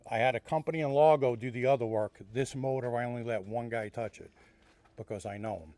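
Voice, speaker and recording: A man's voice: medium pitch, fairly quick, mellow. An older man in casual conversation, speaking in a plain, matter-of-fact way as a guest car owner. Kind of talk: casual chat.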